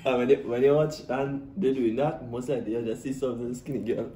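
A man's voice: mid-range voice, fairly even intonation, a little fast, mellow. A young Trinidadian man co-hosting a vlog, chatting playfully and laughing often. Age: young adult. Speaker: vlog co-host. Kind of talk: casual joking chat. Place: small indoor room with dark backdrop. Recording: roomy echo, faint noise.

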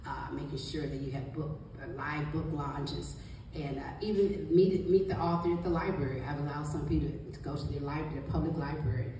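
A middle-aged woman with a deep voice gives an emphatic, encouraging motivational talk as a guest author and book coach. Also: warm tone. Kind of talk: motivational panel talk to an audience. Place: small indoor meeting room. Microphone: handheld mic, captured by distant camera. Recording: distant, very echoey room, faint noise.

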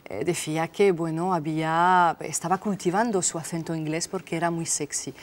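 A woman's voice: deep voice, fairly even intonation, normal speed. Frenchwoman speaking with a French accent. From France. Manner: frank, lightly amused, with many hesitations. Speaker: interview guest. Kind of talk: TV studio interview. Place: TV studio. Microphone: lapel.

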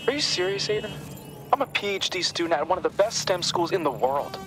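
A man's voice: sits high, expressive, fairly quick. An actor playing a smart young male student in a video game, exasperated, sarcastic and scolding. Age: young adult. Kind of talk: video game cutscene acting. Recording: music behind the voice.

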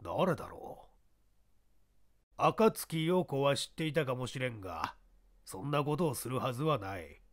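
A man playing a shrewd, self-assured baseball scout in a mystery story reading, explaining himself in a measured, worldly way. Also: medium pitch, expressive, natural voice. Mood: guarded, pondering. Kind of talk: radio-drama style mystery reading.

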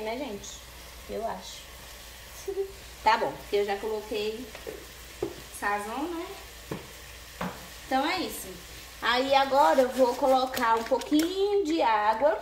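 Chatty young woman cooking, echoey kitchen. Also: high voice. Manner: chatty, keeps asking viewers "né, gente?". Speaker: cooking vlogger. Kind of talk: casual cooking chat to camera. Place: small home kitchen with tiled walls. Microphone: camera on the counter, partly hand-held over the stove. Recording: echoey tiled kitchen, faint background noise.

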